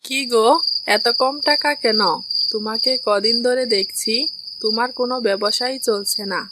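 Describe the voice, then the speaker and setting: A woman's voice: fairly high pitch, fairly even intonation, moderate pace, heavy background noise, natural voice. A woman voicing a shopkeeper's questioning wife in a cartoon story. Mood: worried, questioning. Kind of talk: Bengali cartoon dialogue.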